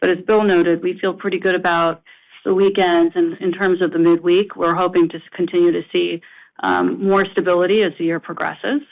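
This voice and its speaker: Creaky-voiced male executive, dull call audio. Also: sits high, little pitch movement, fairly quick. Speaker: company executive. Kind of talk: earnings call answer.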